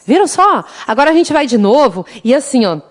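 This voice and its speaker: High-voiced woman teacher with southern Brazilian accent. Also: big pitch swings, fairly quick, faint music behind. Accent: interior Rio Grande do Sul Brazilian Portuguese. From interior of Rio Grande do Sul, Brazil. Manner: chatty, teasing, addresses students warmly as "gente". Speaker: law exam prep teacher. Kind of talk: lively online class, singing mnemonic samba.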